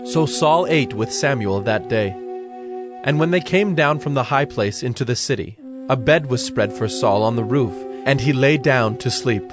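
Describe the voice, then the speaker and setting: A man's voice: mid-range voice, normal speed, over music. A man giving scripted narration as the narrator of a dramatized audio Bible.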